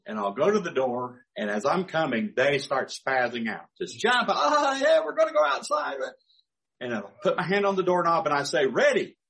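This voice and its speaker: Older male pastor, folksy storyteller, a bit high-pitched, animated delivery. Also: normal speed, creaky. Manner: folksy storytelling, chuckling asides. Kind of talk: sermon with personal anecdotes.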